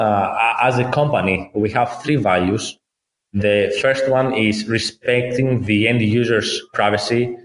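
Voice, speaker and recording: A man in his thirties from Athens with a Greek accent, a guest explaining things in a measured way, point by point. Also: fairly low pitch. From Greece, Athens. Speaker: guest, company founder. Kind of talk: conversational interview. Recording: roomy, echoey room.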